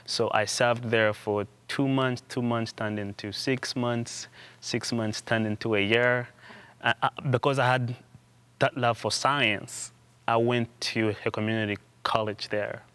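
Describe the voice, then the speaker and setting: A young man from Uganda, a student guest in an interview, telling his story in a halting way with frequent restarts and repeated words. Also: mid-range voice, steady tone, a bit bright, smooth. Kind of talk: conversational interview.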